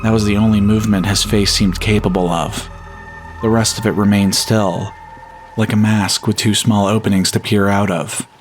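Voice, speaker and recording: Male horror podcast narrator, lower-pitched, measured and solemn, reading scripted first-person fiction over faint music. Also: little pitch movement. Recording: music bed behind the voice. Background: atmospheric music.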